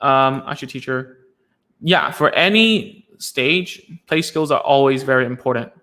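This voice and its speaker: A man's voice: medium pitch, expressive, measured pace, sharp tone. Man, speech therapist, live stream Q&A, casual, rambling, fillers. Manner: rambling, many fillers and restarts.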